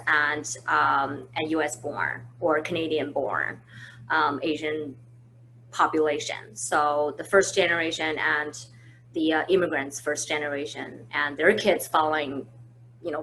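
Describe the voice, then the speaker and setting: Woman, lower pitch, bright tone, easygoing webinar host talking from home. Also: a little hiss or hum, poor audio. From China. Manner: easygoing, frequent fillers and restarts. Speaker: webinar host, marketing manager. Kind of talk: conversational business webinar. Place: at home, indoors.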